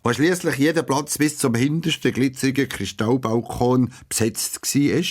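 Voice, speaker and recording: A man with a Swiss German accent narrating a children's radio play as an expressive storyteller. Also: mid-range voice, normal speed.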